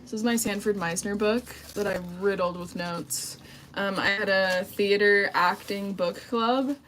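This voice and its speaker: A woman's voice: mid-range voice, a little slow, a bit bright, slight background noise, rough recording. A woman hosting an improv livestream, chatting casually and poking fun at herself with lots of filler words. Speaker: improv performer and livestream host.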